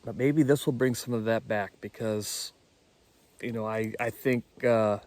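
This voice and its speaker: A man's voice: on the low side, fairly even intonation, measured pace, a little mellow. A man talking casually and enthusiastically in an informal vlog as an amateur radio operator.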